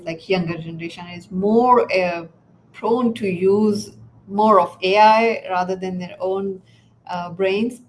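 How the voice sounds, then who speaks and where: Urdu-speaking woman host, halting. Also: mid-range voice, slight background noise, poor audio. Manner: hesitant, many fillers and restarts, laughs often. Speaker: podcast host. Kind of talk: casual interview chat.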